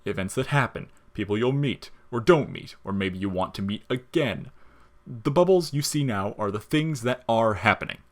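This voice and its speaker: A man's voice: mid-range voice, lively intonation, moderate pace, pitched above the performer's own voice, close to an everyday voice. A man voicing a wry, world-weary skeleton monster who talks in sardonic slang in an audio story, jolly on top and bitter underneath. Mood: casual, explaining. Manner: slangy, sardonic, explaining things casually.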